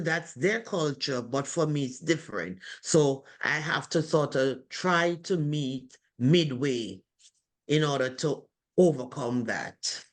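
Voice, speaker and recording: Slow, deliberate woman with a strong voice. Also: a bass voice. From from abroad. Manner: slow, deliberate, with many pauses and fillers. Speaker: webinar participant. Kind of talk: reflective group discussion. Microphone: video call.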